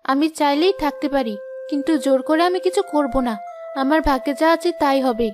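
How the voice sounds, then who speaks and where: A woman's voice: sits high, fairly quick, over music, natural voice. A woman voicing a sorrowful, wronged but proud young widow in a plaintive, dramatic cartoon style. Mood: sorrowful, resigned. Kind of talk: Bengali moral-story cartoon voice acting.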